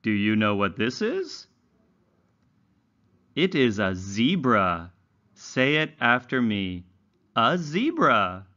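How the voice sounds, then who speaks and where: A man's voice: mid-range voice, big pitch swings, slow pace, pauses often, bright, forceful tone, dull audio. A man teaching young children in a read-along lesson, enunciating carefully with exaggerated, instructional intonation. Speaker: English teacher for young learners.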